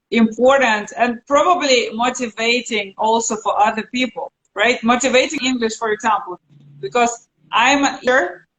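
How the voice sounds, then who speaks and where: A woman's voice: a little high, a bit bright, rough recording. A middle-aged woman from Russia with a Russian accent, a native Russian speaker, chatting casually as an interview guest who teaches English.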